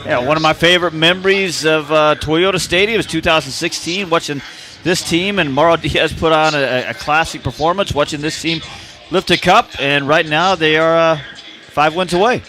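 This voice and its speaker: A man giving casual radio soccer analysis in a folksy, chatty way, with many "uh" pauses. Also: on the high side, pushed and bright. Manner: folksy and chatty, frequent uh fillers.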